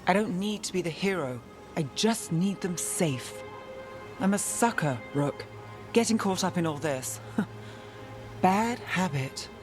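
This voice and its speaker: Guarded, world-weary fantasy detective. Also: female voice, on the low side, animated, measured pace, pauses often, over music. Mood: weary, troubled. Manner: wry, clipped, restrained. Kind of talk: video game cutscene acting.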